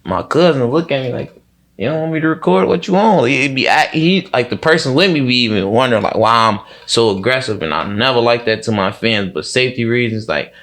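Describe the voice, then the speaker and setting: A man's voice: mid-range voice, animated, a little fast, a bit bright. A man with a Chicago accent talking casually as an interview guest, very slangy and often checking that the listener follows. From Chicago, United States. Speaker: interview guest, music artist. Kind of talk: casual conversation.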